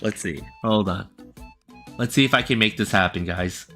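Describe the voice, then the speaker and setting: A man's voice: lowish voice, normal speed, a bit bright. A man casually chatting on his livestream, rambling and often correcting himself mid-sentence. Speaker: livestreaming artist. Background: faint background music.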